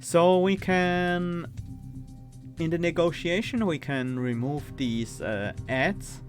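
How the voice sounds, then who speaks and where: A man with a Swiss accent, streaming a card game and thinking aloud, reading cards and weighing choices with pauses and fillers. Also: fairly high pitch, faint music behind. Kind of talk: casual gameplay commentary.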